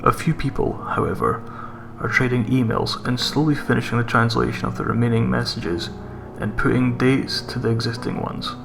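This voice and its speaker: A man narrates a scripted horror tale in a mid-pitched voice with a noticeable creak. Music plays clearly behind the narration. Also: normal speed. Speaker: creepypasta narrator.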